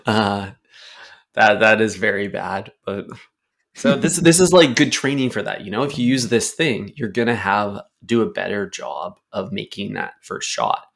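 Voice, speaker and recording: A man's voice: on the low side, normal speed, gravelly, fairly bright tone. A young man co-hosting a podcast, talking in a jokey, rambling way and laughing often. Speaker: podcast co-host. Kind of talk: casual comedic chat.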